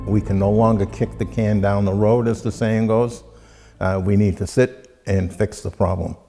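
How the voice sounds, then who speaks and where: An older American man speaks bluntly as an expert in an interview. His voice is mellow and very gravelly. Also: lowish voice, steady tone, moderate pace, soft background music. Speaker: former aviation crash investigator, expert interviewee. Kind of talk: interview remarks.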